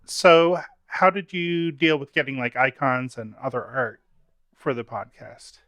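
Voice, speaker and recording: A man with a bright, mid-pitched voice hosts a relaxed conversational interview. His sound is dry, with almost no room around it. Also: a little slow, no echo. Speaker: interviewer, host. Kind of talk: casual interview chat. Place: remote video call, dry room. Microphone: video call mic.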